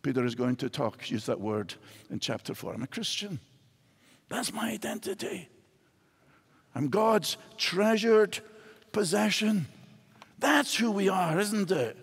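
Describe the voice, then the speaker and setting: A man's voice: high-pitched, animated, a little slow, long pauses, slightly rough. An older man with a Scottish accent, preaching a sermon from a church stage with wide gestures. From Scotland. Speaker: pastor. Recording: hall reverb in a large church.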